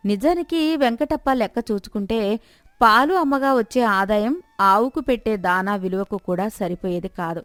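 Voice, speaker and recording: A woman's voice: mid-range voice, normal speed, fairly bright tone, no room sound. A woman narrating a scripted moral story as a storyteller.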